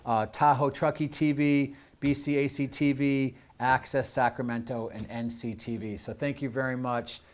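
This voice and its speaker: An American man speaking as host of a community show, addressing his audience off the cuff in an earnest, halting way with drawn-out pauses. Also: mid-range voice, flat delivery. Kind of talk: unscripted closing address to audience with thanks.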